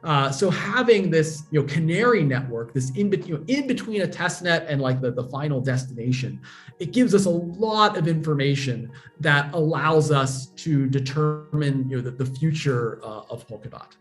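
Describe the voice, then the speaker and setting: A man's voice: on the high side, animated, moderate pace, soft background music. A man, a guest on a remote interview, patiently explaining technology with everyday examples. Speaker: guest, blockchain educator. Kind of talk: casual explanatory interview talk.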